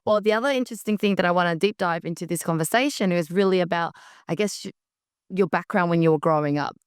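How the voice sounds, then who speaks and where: A woman hosting a podcast interview in a friendly, curious way, running several questions together in one breath. Her voice sits a little low and sounds smooth and clear. It is recorded dry and close, with no room sound. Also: fast talker, no echo. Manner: friendly, curious, asking rambling strings of questions. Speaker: podcast host. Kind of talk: casual interview.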